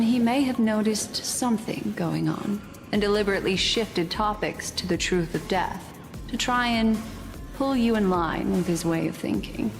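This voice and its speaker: A woman's voice: medium pitch, lightly acted. Woman acting a composed, enigmatic lady in reverberant space. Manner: measured, knowing, formal. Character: composed, enigmatic woman giving shrewd, measured counsel. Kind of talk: video game character dialogue. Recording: long reverb, music behind. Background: game music.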